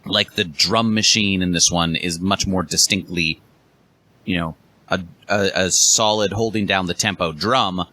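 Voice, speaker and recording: A man's voice: deep voice, fairly even intonation, a little slow, pushed and bright. A man on a podcast panel in casual chat, wry and joking, breaking down songs in detail. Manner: wry, joking, analytical about music.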